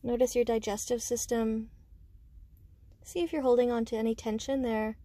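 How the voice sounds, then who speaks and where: A woman guiding a meditation with slow, soothing instruction, speaking gently and unhurriedly in short phrases with pauses. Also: a little high, fairly even intonation, dry recording. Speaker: meditation guide.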